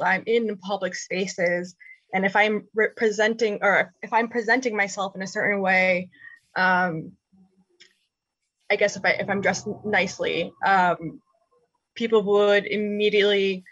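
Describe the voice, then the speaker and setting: A young woman whose first language is Spanish, reflecting candidly and casually in a group discussion, thoughtful and halting, with easy laughter. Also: mid-range voice, a bit bright, no echo, dull audio. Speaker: panel guest.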